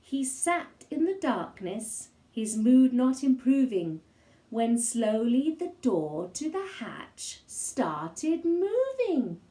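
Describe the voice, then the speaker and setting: A high-pitched woman reads a children's story in verse with great deliberation. Her delivery is theatrical and expressive, each phrase given its own space, and the room around her voice is echoey. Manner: theatrical, slow and deliberate, with gasps and asides. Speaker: storybook reader. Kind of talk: expressive children's read-aloud of rhyming picture book.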